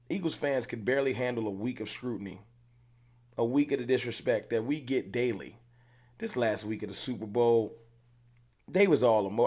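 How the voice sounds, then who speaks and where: Guy ranting about his team on his channel, heated, repeats himself for emphasis. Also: mid-range voice. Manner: passionate, emphatic, repeats points. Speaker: fan channel host. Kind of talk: ranting commentary to camera. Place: room with hats on the wall behind him.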